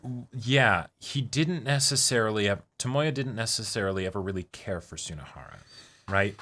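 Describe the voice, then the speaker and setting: Bright, low-pitched man explaining. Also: expressive, moderate pace. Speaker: co-host of an anime reaction and discussion show. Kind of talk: casual analytical discussion.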